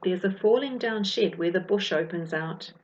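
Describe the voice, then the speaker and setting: A woman's voice: lowish voice, normal speed, darkish tone, highs cut off, slight background noise. A woman with a New Zealand accent reading a rhyming children's story expressively, as its author. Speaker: author reading her own book.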